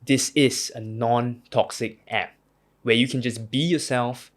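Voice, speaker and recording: A man's voice: mid-range voice, steady tone, a little slow. A man reading a scripted advertisement in a personal, sincere tone. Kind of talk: scripted ad read.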